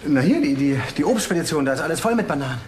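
Man acting a keen police investigator, crime drama, dry, raised pitch. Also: expressive, rapid-fire, a little mellow, lightly acted.

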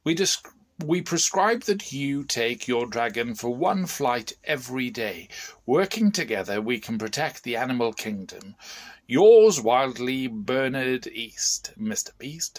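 A man voicing an earnest, excitable animal welfare director giving official advice in a storybook, with emphatic delivery. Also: medium pitch, unhurried. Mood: keen, advising.

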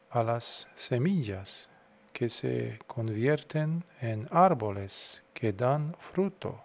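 Very slow-speaking man guiding meditation. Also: medium pitch, a little mellow. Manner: unhurried, speaks in short phrases with long pauses. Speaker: Buddhist monk, meditation guide. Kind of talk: guided meditation talk.

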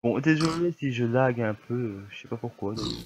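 A man's voice: mid-range voice, lively intonation, moderate pace, background noise. A young man with a cold talking through his gameplay in casual, grumbling commentary, with a slightly stuffy voice. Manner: grumbling, easily annoyed at lag. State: has a cold, coughing. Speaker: gamer.

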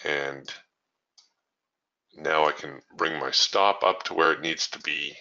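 Low voiced guy narrating his trades, kinda punchy tone, bit muffled audio. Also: takes it slowly. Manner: relaxed, thinking aloud with fillers. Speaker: trading room host. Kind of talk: live trading commentary over screen share.

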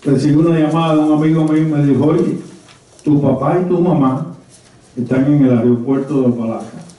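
An older Cuban man in his seventies or beyond reminisces before a small group in a conference room. His voice is very dark and muted, with a deliberate, halting storytelling delivery. It is picked up from a distance, swimming in heavy room echo. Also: on the high side, fairly even intonation. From Havana, Cuba. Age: 70s or older. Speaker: conference speaker. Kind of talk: personal reminiscence told to a small audience. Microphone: handheld mic, recorded from a distant camera. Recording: very echoey, muffled room from afar.

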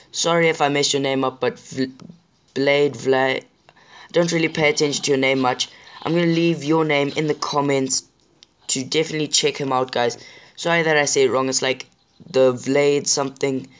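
A man with a sore throat hosts a gaming channel, talking over his play at a middle pitch. His voice sounds a bit rough, and he chats casually and apologetically to his viewers. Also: fairly even intonation, normal speed, fairly bright tone, lo-fi sound. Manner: chatty, apologetic, addresses viewers directly. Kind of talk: casual gameplay commentary.

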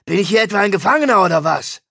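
Guy voicing a loud, vain rocker, swearing and huffy, kinda raspy. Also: fairly high pitch, a little slow, no echo, studio quality, heavily acted. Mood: furious. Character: angry vain male rock star, brash and touchy. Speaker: audiobook character.

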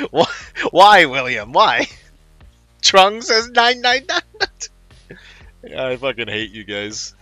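A man's voice: sits high, huge pitch swings, slow, pauses often, sharp tone, dry recording. A young man in his twenties, a non-native English speaker, chatting casually with his stream chat while gaming, laughing often and swearing freely. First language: not English. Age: 20s. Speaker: game streamer. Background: background music.

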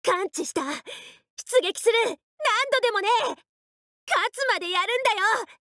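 An actress playing a bold, battle-crazed young female warrior in a game, with theatrical, cocky, rough phrasing. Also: extremely high pitch, lively intonation, measured pace, bright, forceful tone, no room sound, clean studio sound, heavily acted. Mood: battle frenzy. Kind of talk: Japanese game battle voice lines.

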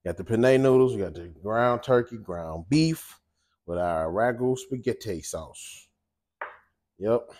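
A man in his forties hosting a casual home cooking show from his kitchen, talking straight to viewers in an animated, chatty way. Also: on the low side, slow pace. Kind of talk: casual cooking chat and monologue.

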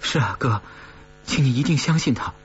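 A man's voice: medium pitch, animated, moderate pace, soft background music, voice pushed up, close to an everyday voice. A man voicing a flustered young woman who pleads earnestly with her older brother in an audiobook story. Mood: earnest pleading. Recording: dull recording with faint hum.

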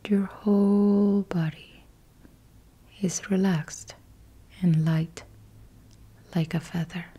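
A woman with a soft, very mellow and slightly low voice guides a yoga nidra practice for sleep. She speaks very slowly, in short measured phrases with pauses between them. Her delivery is hushed and soothing, trailing into breath at the ends of phrases. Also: steady tone, husky.

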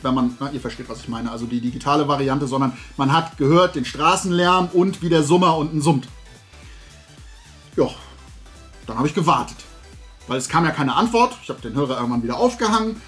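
High-pitched man chatting with sarcastic asides. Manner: animated storytelling with sarcastic asides. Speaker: host of a talk and toy channel. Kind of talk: casual chat, telling an anecdote to camera. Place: small room at home with shelves of collectibles.

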